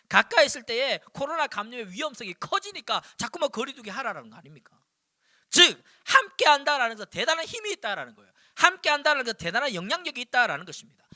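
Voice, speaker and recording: A man in his forties from Daegu, preaching a sermon to high school students with animated storytelling and punchy repetition, speaking Seoul Korean with slight Daegu traces. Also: no room sound, clean studio sound. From Daegu, South Korea. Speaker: youth pastor. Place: church.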